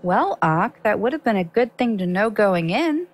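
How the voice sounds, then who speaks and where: A woman's voice: mid-range voice, big pitch swings, normal speed, close to an everyday voice. A woman playing a curious, casual young woman in an audio drama, with an informal, easygoing way of talking. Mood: wry, nervous.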